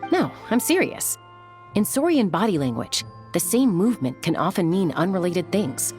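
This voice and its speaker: A woman's voice: fairly low pitch, sing-song, moderate pace, darkish tone. A woman playing a thoughtful, self-assured young woman in a video game, wry and earnest, with music behind.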